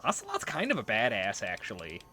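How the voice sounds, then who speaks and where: A man's voice: on the low side, huge pitch swings, fairly quick, sharp tone, a little hiss or hum. Rambling man doing casual game commentary. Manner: rambling, conversational. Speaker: let's player. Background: game music and audio.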